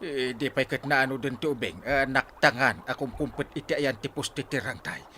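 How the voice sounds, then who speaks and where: A man acting a gruff, selfless village captain in a drama serial, speaking haltingly with many interjections. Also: mid-range voice, sharp tone, a little hiss or hum, natural voice. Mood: tired, concerned.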